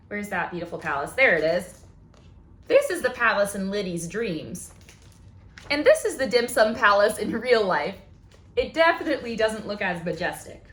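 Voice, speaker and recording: A woman hosting storytime for young children, reading aloud and talking in an exaggerated, sing-song way. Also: mid-range voice. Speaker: children's librarian, storytime host. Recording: echoey room.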